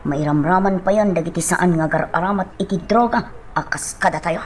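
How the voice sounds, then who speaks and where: A woman playing a worried young working woman who confides haltingly in friends, in an Ilocano radio drama. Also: fairly low pitch, a little mellow, slight background noise, lightly acted. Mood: anxious confiding. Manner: hesitant, halting.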